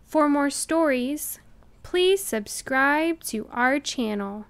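A woman reading a children's picture book aloud, with deliberate and expressive enunciation. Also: sits high, creaky. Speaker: storybook reader. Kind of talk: children's read-aloud narration.

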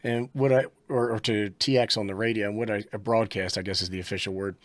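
A man's voice: fairly low pitch, fairly even intonation, fast talker, no echo. A middle-aged man hosting a casual panel chat, speaking into a close microphone. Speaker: online show host. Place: indoor home radio studio, on a video call. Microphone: close studio microphone on a boom.

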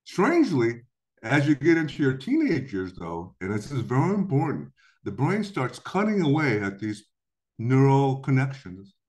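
A middle-aged man from Korea gives a recorded lecture into a webcam in an indoor room. His voice moves up and down a lot as he explains, and he slips into quick acted-out quotes. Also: medium pitch, huge pitch swings, a little mellow.

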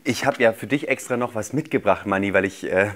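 A man's voice: fairly low pitch, brisk, fairly bright tone. A man in his thirties, a magician guest on a children's show, explaining things simply to young viewers. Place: small indoor TV studio set. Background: background music.

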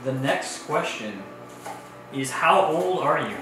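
A man in his early thirties chatting casually while cooking at home, laid-back and low-key. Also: expressive, slow pace, background noise. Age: early 30s. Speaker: co-host, husband. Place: large home kitchen, indoors. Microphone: far camera mic. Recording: echoey kitchen from far off, background music.

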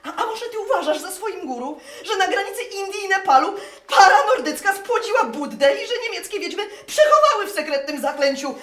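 Anguished scornful wife, theatrical radio drama, very high voice, roomy echo. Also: female voice, lively intonation, brisk, few pauses, fairly bright tone. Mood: scornful, incredulous. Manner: theatrical, emotional, mocking. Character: distraught, scornful German wife pleading with her scientist husband. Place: live performance inside a wooden barn. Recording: echoey barn stage recording.

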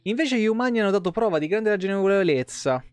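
Higher-voiced man, dry close sound. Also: animated, fast talker, smooth, no room sound. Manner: colloquial, profane, stumbles and self-corrects while reading. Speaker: live streamer. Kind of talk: reading game lore aloud with casual asides. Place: small room at home. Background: faint background music.

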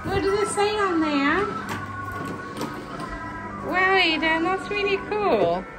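A woman's voice: extremely high pitch, animated, takes it slowly, over music, low-quality recording. A woman filming her child on a ride outdoors, chatting cheerfully, asking lots of questions and cheering him on. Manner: cheerful, asks many questions, cheers often. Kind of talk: casual encouraging chat behind the camera. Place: shopping street outdoors by a kiddie ride. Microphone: phone camera held by the speaker.

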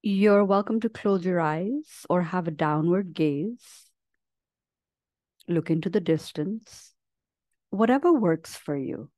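A woman guides a meditation in a slightly low, softly mellow voice. She speaks gently and very slowly, leaving long pauses between short phrases. The sound is close and dry. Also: no echo. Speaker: meditation teacher. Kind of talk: guided meditation, slow soothing instruction.

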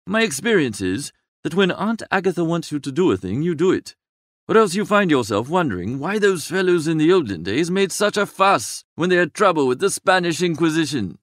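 Idle, flippant young gentleman male reader for comic storybook: droll, wordy, higher-pitched, very animated. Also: brisk, no room sound, clean studio sound. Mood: breezy, droll. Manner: wordy, breezy, drolly exaggerating. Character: Bertie Wooster, young idle upper-class gentleman, flippant and languid. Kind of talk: comic first-person audiobook narration.

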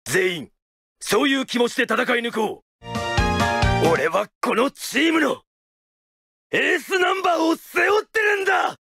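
A man playing an earnest, passionate senior ace pitcher who leads his team in a game. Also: expressive, a little slow, pauses often, exaggerated voice. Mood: fiery. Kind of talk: anime baseball game voice lines. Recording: loud music under the voice.

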